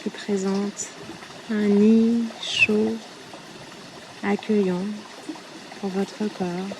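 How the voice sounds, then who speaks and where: A woman leading a guided yoga nidra meditation in a soothing, unhurried way, with short phrases and long pauses. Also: medium pitch, little pitch movement, creaky, background noise. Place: outdoors at a riverbank. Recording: outdoor recording with loud running river water. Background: flowing, trickling stream water.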